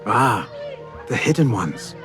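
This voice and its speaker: An actor playing an earnest, purposeful young assassin in a video game. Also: male voice, medium pitch, big pitch swings, slow pace, over music. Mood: gentle. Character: earnest young male assassin, urgent and protective.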